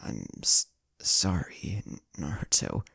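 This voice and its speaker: A man voicing a fierce but shaken woman ninja leader in terse, halting phrases. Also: low-pitched, huge pitch swings, vocal fry, bright, forceful tone, breathy edge, dry recording, studio quality, heavily acted. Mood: weak, sorrowful.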